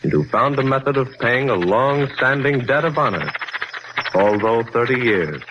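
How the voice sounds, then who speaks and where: A man's voice: medium pitch, animated, moderate pace. Crackly 1940s American radio announcer, male. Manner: formal, dramatic.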